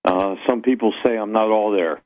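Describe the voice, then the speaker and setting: A man's voice: on the low side, steady tone, normal speed. A man from Pennsylvania phoning in to a radio show for a casual chat over a narrow phone line. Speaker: phone-in caller.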